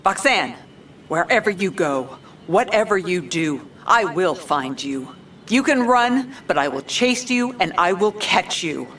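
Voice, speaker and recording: An actress playing a world-weary, dogged female police commissioner, narrating noir-style in a dry, deadpan way. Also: lowish voice, unhurried, slight background noise. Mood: grim, resolute. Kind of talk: noir detective narration and dialogue.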